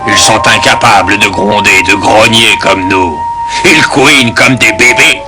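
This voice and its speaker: A man voicing a gruff, scolding, hot-tempered animal in a French cartoon dub, with a raspy voice. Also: lowish voice, unhurried, loud music behind the voice, natural voice. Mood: grumbling, contemptuous. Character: gruff, hot-tempered male animal who hates humans.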